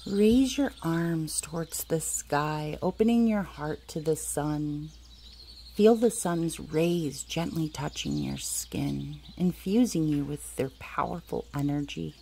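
A woman leading a guided meditation as host, speaking soothingly in short, deliberate phrases with long pauses. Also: low-pitched, vocal fry, mellow, a little hiss or hum. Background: music.

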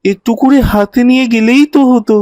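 A woman's voice: on the high side, moderate pace, dark tone, no echo, close to an everyday voice. A woman voicing a cheeky, unhelpful child in a children's cartoon, mocking and grumbling.

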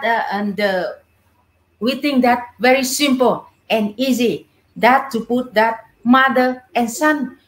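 A middle-aged woman with a Vietnamese accent, telling stories casually as an interview guest in non-native English. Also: fairly high pitch. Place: indoor room full of puppets, on a video call. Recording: roomy echo on a video call.

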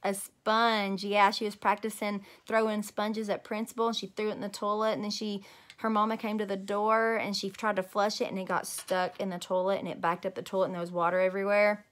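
A woman in her thirties reading a children's story aloud in an animated, emphatic way. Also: mid-range voice, a little fast. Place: small room at home.

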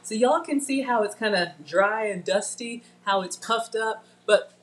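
A woman's voice: fairly high pitch, a little slow, slight background noise. Chatty woman cooking live in her kitchen. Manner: chatty, talks to viewers and names commenters. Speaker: home cooking livestream host. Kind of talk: casual live cooking demo. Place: home kitchen, indoors. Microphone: fixed camera at counter distance.

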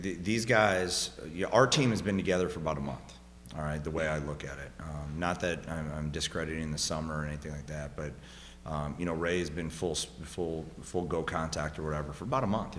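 A man in his thirties, a head coach, answering postgame questions at a press conference, emphatic and rising on his key points, into a table mic. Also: rumbling low. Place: press room, seated at a table. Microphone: table microphone on a stand. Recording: reverberant room with faint hum.

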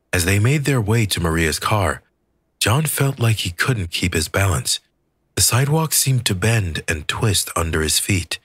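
Deep, husky male audiobook narrator. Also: fairly bright tone, dry recording, clean studio sound. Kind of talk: scripted fiction narration.

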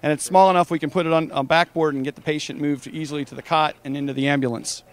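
A man's voice: a little high, fairly even intonation, fast. A man hosting an on-location interview at a trade show booth, speaking in a chatty, conversational way. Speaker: podcast host and interviewer.

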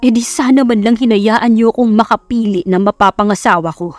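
A woman's voice: a little high, fairly quick, darkish tone, no room sound, soft background music, close to an everyday voice. A woman voicing an irritated, incredulous rich man in his late twenties in an audio romance story, curt and indignant.

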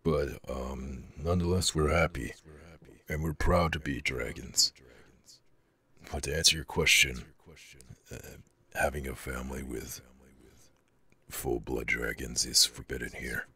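A man voicing a huge, shy but proud dragon in an ASMR roleplay, with a growling, raspy voice and hesitant, stammering delivery. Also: fairly even intonation, unhurried, long pauses, bright, forceful tone, heavily acted. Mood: hesitant, earnest. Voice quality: very deep, gravelly growl with breathy rasp.

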